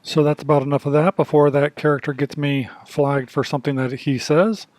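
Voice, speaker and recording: A man's voice: fairly high pitch, steady tone, fairly quick, noisy background. A man hosting a casual hands-on demonstration, talking in a plainspoken, folksy way. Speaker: video host demonstrating radio gear.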